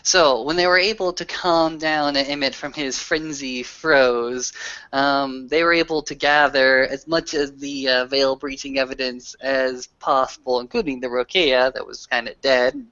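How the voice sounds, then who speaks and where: Guy doing grand mock-formal rpg storytelling over a muffled voice chat, medium pitch, swears a bit. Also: fairly even intonation, unhurried, sharp tone. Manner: theatrical, mock-formal oratory mixed with swearing. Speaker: tabletop roleplay player. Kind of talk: in-character storytelling. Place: online group voice call. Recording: dull lo-fi voice call.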